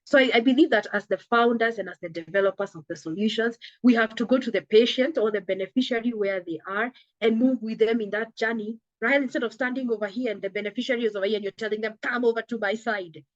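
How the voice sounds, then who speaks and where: Woman, higher voice, slightly scratchy, earnest and emphatic, dry muffled sound. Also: fast, few pauses, dry recording. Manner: earnest, emphatic, acts out little dialogues. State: slightly scratchy voice from a coming cold. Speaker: telehealth company founder, guest. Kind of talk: conversational interview.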